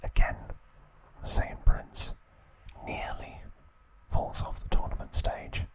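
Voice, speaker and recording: A man whispering ASMR trivia narration in a soft, steady voice, with casual asides now and then. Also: slow pace, low-quality recording. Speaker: ASMR creator.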